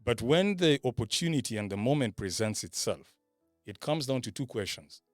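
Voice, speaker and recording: A man's voice: mid-range voice, lively intonation, normal speed, dry recording. Man, emphatic, motivational, repeats key phrases, dry sound, faint music behind. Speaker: podcast panellist. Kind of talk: motivational casual talk.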